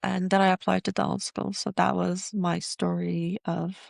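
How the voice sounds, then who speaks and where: A woman from Iran, a dentist guest in an interview, talking casually with many pauses and fillers. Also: on the low side, flat delivery, gravelly, dry recording, clean studio sound.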